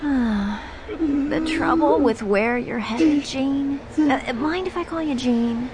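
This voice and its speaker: Teasing, high-pitched superhero girl. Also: music in the background. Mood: mocking menace. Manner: teasing, mock-sweet, drawn-out taunting. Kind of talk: audio drama acting. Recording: noisy audio drama clip with ambience.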